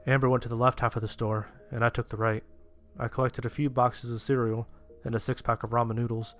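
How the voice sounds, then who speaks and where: A man's voice: mid-range voice, little pitch movement, brisk, fairly bright tone, lightly acted. A man playing a sheltered, anxious young survivor, narrating his story in the first person in a plain-spoken way. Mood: narrating, sheepish. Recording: music behind the voice.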